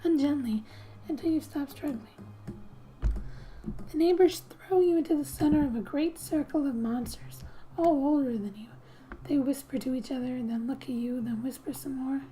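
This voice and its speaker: A woman speaking softly and breathily, often near a whisper, as she gently reads game text aloud for an ASMR gaming video. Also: high voice, normal speed, slight background noise, rough recording.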